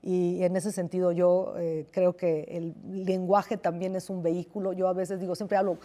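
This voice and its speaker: Una escritora de origen italiano con acento italiano, entrevistada en televisión; habla reflexiva, con titubeos y muchas pausas. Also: female voice, lowish voice, level tone, mellow. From Italy. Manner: thoughtful, hesitant, many restarts and fillers. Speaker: novelist guest. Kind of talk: television interview.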